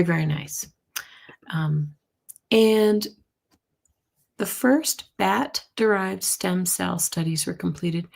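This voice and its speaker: A middle-aged woman with a hoarse, husky voice, chatting casually as host of a live tarot and astrology stream and reacting to viewer comments. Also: mid-range voice. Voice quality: husky, gravelly voice. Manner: chatty, reacts to comments, coughs and clears throat. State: hoarse from losing her voice.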